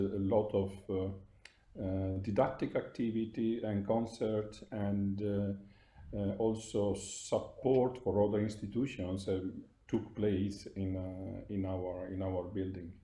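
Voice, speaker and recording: A man from Italy introducing himself on a video call, speaking haltingly with many fillers and restarts. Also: on the low side, little pitch movement, mellow. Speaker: music academy staff, conference participant. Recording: lo-fi video call audio in an echoey room.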